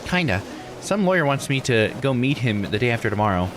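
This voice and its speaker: A man's voice: mid-range voice, big pitch swings, brisk, noisy background, close to an everyday voice. A man voicing earnest, anxious young friends in a visual novel, with an emotive delivery. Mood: concerned, gentle. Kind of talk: character dialogue read aloud.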